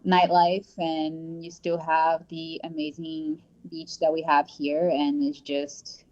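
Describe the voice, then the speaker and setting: A woman with a notably low voice talks casually as a guest, full of ums and restarts. She is joining over headphones on a remote call, and the audio is dull and lo-fi. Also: flat delivery, slow pace, a little mellow. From Brazil. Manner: chatty, many fillers and restarts. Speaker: real estate agent, guest.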